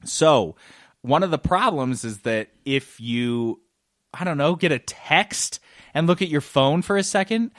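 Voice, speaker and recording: A man's voice: a little high, lively intonation, unhurried, dry recording. Male podcast co-host, enthusiastic and wordy, casual game chat, very dry close sound. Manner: enthusiastic, rambling explainer.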